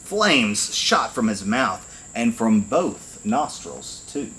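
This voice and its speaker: A man reads a children's picture book aloud with very lively intonation. He takes his time over each rhyming phrase and speaks deliberately. There is some steady background noise. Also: lowish voice. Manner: animated storytelling, deliberate phrasing. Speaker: firefighter reading to children.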